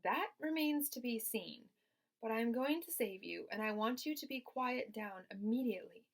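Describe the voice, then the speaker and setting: A woman voicing a calm, wise female spider who speaks briskly and firmly. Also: on the high side, pitched above the performer's own voice. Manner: brisk, firm and reasoned.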